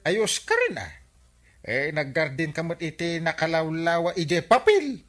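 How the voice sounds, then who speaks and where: A man's voice: on the high side, huge pitch swings, measured pace, a bit bright, heavily acted. A man with an Ilocano Filipino accent playing a scolding, teasing village captain in a radio comedy drama.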